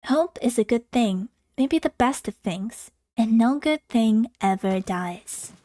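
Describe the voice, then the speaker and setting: A woman's voice: a little high, animated, slow pace, dark tone, no echo. A woman streaming a visual novel, reading it aloud expressively and adding casual commentary. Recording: music playing behind the voice. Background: game music.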